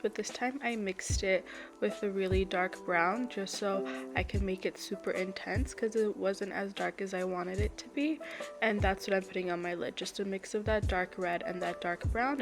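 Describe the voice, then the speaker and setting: A congested, nasal-sounding young woman talks through her makeup routine in a chatty, step-by-step way. Her voice sits at an ordinary middle pitch. Clear background music plays under her voice-over. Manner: chatty, apologetic, step-by-step explaining. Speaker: beauty vlogger.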